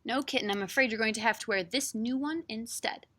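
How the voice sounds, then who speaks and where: A woman voicing a fond grandmother in a picture book, who gently scolds and fusses. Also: fairly high pitch, a little fast, no room sound. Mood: apologetic. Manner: gently scolding, exclamatory, reasoning.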